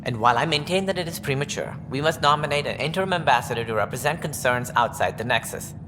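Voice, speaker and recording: Actor as pompous politician with swooping pitch. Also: male voice, expressive, quick pace, lightly acted. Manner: formal, pompous, argumentative. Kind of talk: video game dialogue. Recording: noisy, echoing hall, ambient sound.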